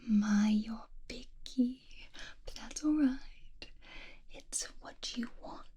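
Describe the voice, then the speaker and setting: A woman plays an obsessed lady mad scientist in a fantasy ASMR roleplay, whispering slowly. She dotes and fusses over her creation, bossy but affectionate, in a fairly high feminine voice. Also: mellow, slightly rough, exaggerated voice. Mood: playful, coaxing. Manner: coaxing, fussing, doting, lingering over words.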